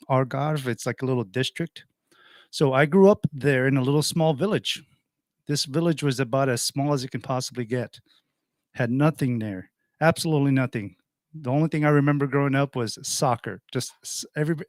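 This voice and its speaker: A man's voice: mid-range voice, no room sound. A man in his forties from Portugal, a radio host telling his life story in a rambling way, restarting and correcting himself. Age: 40s. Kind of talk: personal storytelling monologue.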